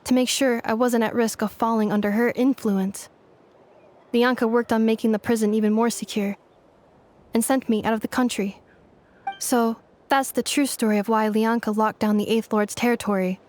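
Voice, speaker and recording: A woman voicing a calm, dutiful android woman in a game, speaking in an even, formal, machine-like way. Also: on the high side, moderate pace, close to an everyday voice. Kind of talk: game dialogue.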